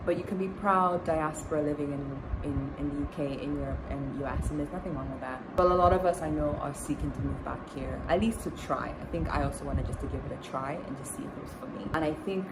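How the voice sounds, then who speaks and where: A young woman from Tanzania talks through a casual storytime vlog in a low voice, padding her thoughts with fillers and restarts. Loud background noise runs under her speech throughout. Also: fast, hardly any pauses. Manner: chatty, with frequent fillers and restarts. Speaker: vlogger.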